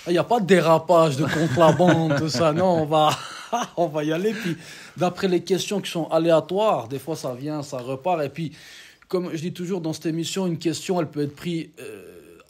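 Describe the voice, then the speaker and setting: Husky-voiced man hosting a casual chat. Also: fairly high pitch, moderate pace. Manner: casual, joking, loose questions. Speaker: show host.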